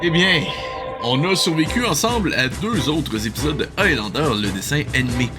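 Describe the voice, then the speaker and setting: A man's voice: on the high side, lively intonation, normal speed, music in the background. A man hosting a casual, humorous reaction commentary on a cartoon, with a wry, joking manner.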